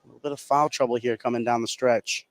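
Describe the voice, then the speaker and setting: A man's voice: medium pitch, fairly even intonation, fast talker. A man giving conversational live analysis as color commentator on a basketball radio broadcast.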